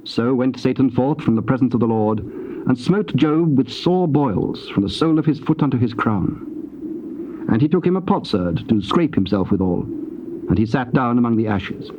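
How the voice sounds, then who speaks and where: A British man reads scripture as narrator. His delivery is measured and solemn, in a mid-range voice, on dull tape with clear hiss. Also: medium pitch, animated, warm tone. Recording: dull hissy cassette tape.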